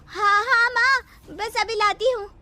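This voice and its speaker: A woman voicing an excitable, easily frightened young daughter in a cartoon horror story. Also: extremely high pitch, slow pace, voice pushed up, full character voice. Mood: flustered. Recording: music under the voice.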